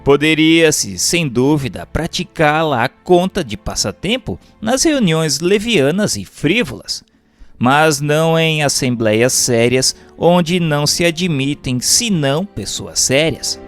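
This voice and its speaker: A man reads a religious text aloud as scripted narration, in a measured, formal, didactic manner. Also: a little high, lively intonation, faint music behind. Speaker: audiobook narrator.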